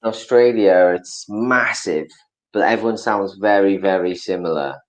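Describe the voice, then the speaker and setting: A man's voice: sits low, moderate pace. A man from Wales, a podcast guest in casual, rambling chat. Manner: chatty, rambling, animated impressions.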